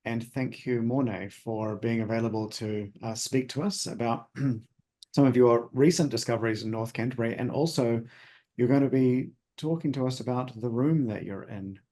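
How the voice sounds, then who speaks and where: A man hosting an evening talk, introducing the speaker politely and haltingly over a video call. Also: medium pitch, dark tone. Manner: halting, polite, with frequent pauses. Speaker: host of a society talk. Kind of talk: formal introduction.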